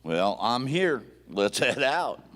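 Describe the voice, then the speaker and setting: An actor playing a folksy Old West sheriff with an in a live radio play, stumbling over repeated words. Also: male voice, medium pitch, very animated, slow, a bit bright. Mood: eager, folksy. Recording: echoey theatre hall.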